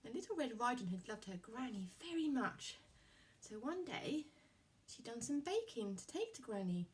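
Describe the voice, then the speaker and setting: A woman's voice: on the high side, very animated, normal speed, a little mellow, far from the mic. A woman telling a story to young children as a puppeteer.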